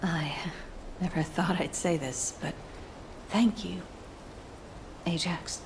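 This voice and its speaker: A woman's voice: on the low side, takes it slowly, gravelly, background noise. A woman playing an emotional mother and soldier, relieved over her daughter, in dramatic video game acting. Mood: quiet gratitude.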